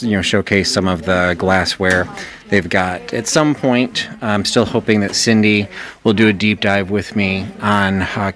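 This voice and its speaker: Low-voiced chatty man browsing antiques. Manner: chatty, thinking aloud with fillers and small laughs. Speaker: antiques reseller vlogger. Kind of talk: casual shopping vlog narration. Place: indoors in an antique auction shop.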